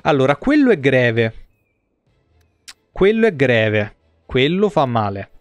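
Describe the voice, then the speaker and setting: A man's voice: mid-range voice, very animated, takes it slowly, no room sound, faint music behind. A man, giving casual, slangy live gameplay commentary as a streamer. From Italy. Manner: colloquial, slangy. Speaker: game streamer. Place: indoor streaming room.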